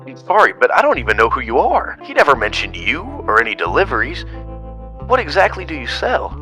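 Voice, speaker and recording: Man as an earnest little tavern boss, sharp bright voice, swinging pitch, defensive, audio drama. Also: huge pitch swings, brisk, faint music behind. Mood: wary.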